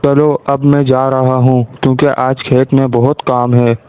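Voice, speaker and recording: A man's voice: mid-range voice, little pitch movement, normal speed, clean tone, no echo, natural voice. A man playing a poor, good-natured village farmer and husband in a story, with a plain, resigned manner. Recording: narrow tinny phone-like sound.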